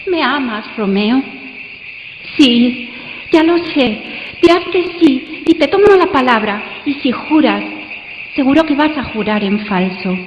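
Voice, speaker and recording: A woman's voice: high voice, expressive, a little slow. A woman playing a lovestruck young noblewoman, coy and passionate, in a theatrical, ardent classical radio play. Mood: pleading, passionate. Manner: theatrical, ardent, swinging between bold and hushed. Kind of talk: live radio play, classical romantic drama. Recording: muffled, noisy, echoey hall with crickets. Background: cricket sound effects.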